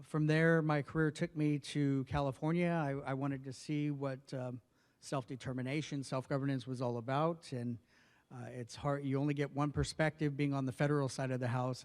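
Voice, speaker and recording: A soft-spoken man in his forties from northwestern New Mexico gives a personal speech at a formal event. He talks at a middling pitch in a flat, steady tone, pausing often with fillers. His voice carries a long reverb from a large hall. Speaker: federal health official giving a speech. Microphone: podium microphone.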